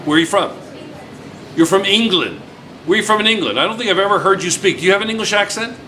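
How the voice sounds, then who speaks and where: A man's voice: sits high, lively intonation, fast talker, a crowd in the background. A man teaching a class, chatty and teasing, repeating his students' answers back to them. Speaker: physics teacher. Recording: noisy classroom recording.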